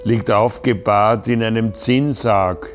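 An actor playing a somber seaman, narrating plainly and gravely in a radio play. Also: lowish voice, fairly even intonation, slow, soft background music. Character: somber male seaman reporting sickness aboard.

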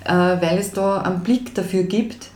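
A woman's voice: lowish voice, fairly even intonation, normal speed, a little mellow. A woman, a project founder talking as a podcast guest, emphatic and full of hesitation fillers. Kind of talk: casual conversation. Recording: roomy echo.

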